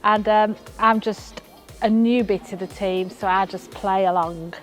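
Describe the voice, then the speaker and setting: A woman's voice: medium pitch, steady tone, unhurried. A woman with a mid-range voice chats casually as an interviewee. Faint music plays behind her. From Yorkshire, England. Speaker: amateur wheelchair rugby player.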